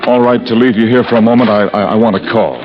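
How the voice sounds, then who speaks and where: An actor playing a vengeful, grieving husband who narrates in grim, clipped first person, in 1940s radio acting. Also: male voice, medium pitch, fairly even intonation, quick pace, lightly acted. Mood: urgent. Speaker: narrator and lead. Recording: dull lo-fi old radio broadcast with score.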